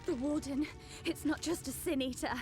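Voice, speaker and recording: A woman playing a frightened young companion in a fantasy video game, speaking in a hushed, halting, pleading way. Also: sits high, steady tone, faint music behind, natural voice. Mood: grave, shaken. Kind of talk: dramatic video game voice acting.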